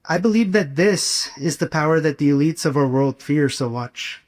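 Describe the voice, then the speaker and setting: A young man delivering a prepared, inspirational speech as a student speaker, with earnest, measured, rhetorical phrasing. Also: fairly high pitch, lively intonation. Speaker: student speaker at a campus gathering.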